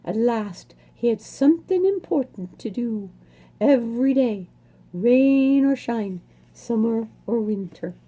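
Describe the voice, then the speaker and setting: A woman reading a children's storybook aloud expressively, savoring words with dramatic emphasis and pauses. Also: fairly high pitch, lively intonation, mellow.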